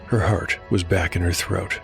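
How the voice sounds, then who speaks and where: A man narrating a scripted dark fantasy audio drama in a measured, dramatic storytelling manner. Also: low-pitched, fairly even intonation, creaky. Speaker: narrator and host. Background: music.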